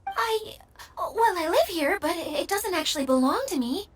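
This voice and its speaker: A woman playing a gentle, anxious young female caretaker in an anime game, hesitant, polite and apologetic. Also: very high-pitched, expressive, normal speed, slight background noise. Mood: hesitant, downcast.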